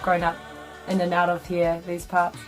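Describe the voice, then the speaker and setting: A woman's voice: on the low side, monotone, normal speed, music in the background, poor audio. A woman, easygoing and a bit hesitant, introducing herself from a small bedroom at home. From New Zealand. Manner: easygoing, hesitant, many fillers. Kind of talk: casual self-introduction.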